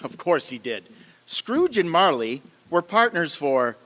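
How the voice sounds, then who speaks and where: Man as slow, theatrical Victorian storyteller in a hall. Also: fairly high pitch, sing-song, fairly bright tone. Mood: dramatic narrating. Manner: deliberate, dramatic storytelling. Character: genial, theatrical male Victorian author and storyteller. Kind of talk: old-time radio play narration on stage. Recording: long hall reverb, music behind.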